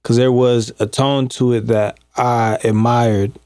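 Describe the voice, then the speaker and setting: Male engineer co-host, medium pitch, calm explainer, dry close mic. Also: slow pace, smooth, no echo. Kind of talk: casual interview.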